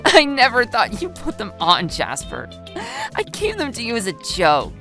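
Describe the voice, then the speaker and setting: Woman acting a high, giggly, teasing teenage schoolgirl. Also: wildly swooping pitch, normal speed, bright, forceful tone, faint music behind, full character voice. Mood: gleeful mockery. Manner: giggly, gasping, breaks into laughter. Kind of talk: dramatized audio story dub.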